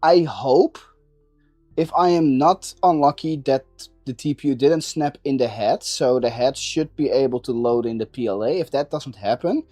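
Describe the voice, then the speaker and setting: A man chatting casually while working on a build, thinking aloud in a halting way and repeating words. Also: medium pitch, dark tone, no echo, soft background music. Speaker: maker livestreaming a 3D printing build.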